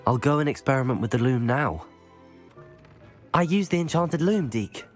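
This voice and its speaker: An actor playing a polite, curious young student wizard in a video game, formal in phrasing. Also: male voice, mid-range voice, lively intonation, moderate pace, faint music behind, close to an everyday voice.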